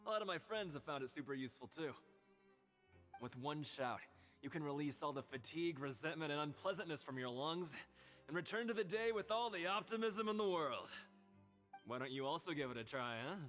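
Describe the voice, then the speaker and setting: Bright, exuberant young pep-talker. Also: male voice, a little high, lively intonation, brisk, soft background music. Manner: exuberant, emphatic, encouraging. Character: hearty, upbeat young man, booming pep-talk enthusiast. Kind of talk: video game character acting.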